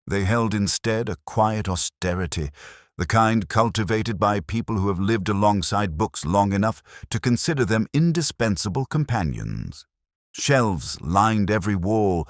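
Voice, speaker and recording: A man narrating a first-person detective story from a script, in a measured, literary and reflective manner. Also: low-pitched, dry recording, studio quality.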